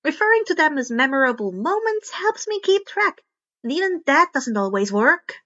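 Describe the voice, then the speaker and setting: A woman's voice: high voice, big pitch swings, normal speed, fairly bright tone, muffled recording, pitched above the performer's own voice. A woman voicing an eccentric, curious teenage girl inventor with a perky, casual, teasing manner in a story reading. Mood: breezy.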